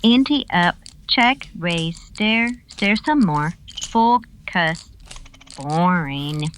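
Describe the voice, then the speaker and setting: An actress playing a bored, dry-witted woman at an Old West poker game in a radio drama, deadpan and drawn-out. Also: lowish voice, heavily acted. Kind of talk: old-time western radio drama acting.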